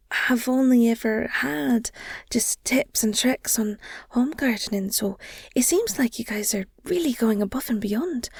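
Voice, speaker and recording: A woman playing an affectionate, nerdy werewolf girlfriend in an intimate audio roleplay, soft and breathy, often near a whisper, speaking tenderly. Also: a little high, no echo, clean studio sound, heavily acted. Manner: gushing, tender, hesitant with pauses and sighs.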